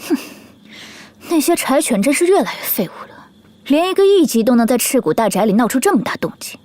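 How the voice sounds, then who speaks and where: A woman playing a haughty, disdainful young heir of a magic clan in an animated series, scornful and aloof. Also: high voice, brisk. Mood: bored disdain.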